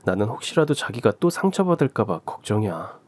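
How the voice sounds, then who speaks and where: A man voicing a gentle, caring young husband who calmly talks his wife out of something in a radio drama story. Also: on the low side, a little fast, no room sound. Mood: reassuring. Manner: calm, reassuring.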